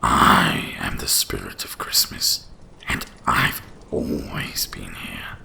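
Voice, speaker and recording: A man voicing an ancient, wise dragon guardian of winter in a slow, solemn, gravelly whisper for an ASMR roleplay. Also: bright, forceful tone, full character voice. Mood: grand, revealing. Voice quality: deep gravelly breathy whisper. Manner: slow, solemn, deliberate delivery.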